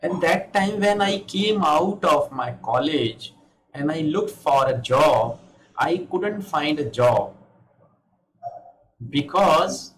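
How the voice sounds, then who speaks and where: A man in his fifties from India with an Indian accent, giving a patient one-on-one English lesson over a video call. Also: a little high. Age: 50s. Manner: patient, repeats and checks understanding. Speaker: English teacher. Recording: echoey, noisy video call.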